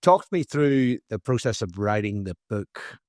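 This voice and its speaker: Low-voiced Irish man hosting a podcast. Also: big pitch swings, measured pace, darkish tone, dry recording, studio quality. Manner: chatty, repeats starts, casual swearing. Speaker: podcast host. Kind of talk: casual interview chat.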